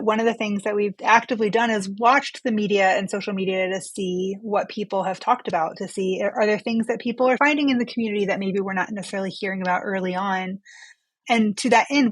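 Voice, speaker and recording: A woman's voice: mid-range voice, no echo, rough recording. A woman physician speaking as an interview guest, explaining things in a conversational way.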